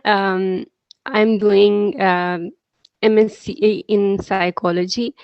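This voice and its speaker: A woman introducing herself on a video call, hesitant with many pauses. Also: mid-range voice, fairly even intonation, creaky, no room sound. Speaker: program delegate. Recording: dry, dull video call audio.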